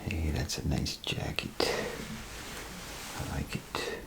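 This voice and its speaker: A man doing a soft-spoken, half-whispered ASMR role play as a chatty, grumbling bathroom attendant, rambling and hesitant in a folksy way. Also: takes it slowly. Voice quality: gravelly, breathy half-whisper. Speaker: ASMR performer.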